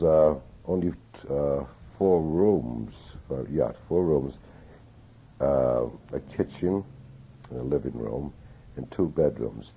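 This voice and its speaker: An older man recalling his childhood in an oral history interview, speaking slowly and reflectively with many hesitations, on an old tape recording. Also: very deep voice, husky. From Newton, Massachusetts. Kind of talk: reminiscing in an interview. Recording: dull lo-fi 1981 tape.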